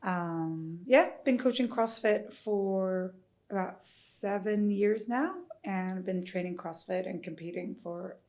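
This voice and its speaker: A woman's voice: mid-range voice, takes it slowly, darkish tone. A woman from Sweden chatting casually as a podcast guest and fitness coach. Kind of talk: casual chat.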